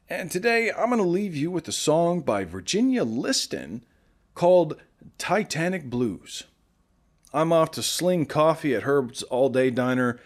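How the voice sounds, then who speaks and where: A man's voice: mid-range voice, a little mellow, close to an everyday voice. A man playing a friendly, earnest morning radio host in a post-apocalyptic audio drama, chatty and rambling.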